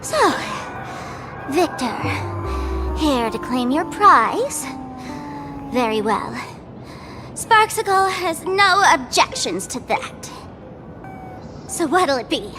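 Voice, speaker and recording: A high-pitched, smug young trickster girl in a video game. She teases theatrically and swings widely in pitch, with music playing behind her. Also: sing-song, takes it slowly, pauses often, heavily acted. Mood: playful, smug. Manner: teasing, theatrical, speaks of herself in third person. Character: mischievous young female masked trickster streamer, smug and theatrical. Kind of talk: video game character acting.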